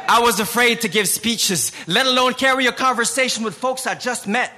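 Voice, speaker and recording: A man with a Filipino accent, Tagalog his first language, performing spoken word poetry at a live slam with a forceful, rhythmic delivery that swells into shouts. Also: extremely high pitch, moderate pace. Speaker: slam poet. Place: live venue before an audience.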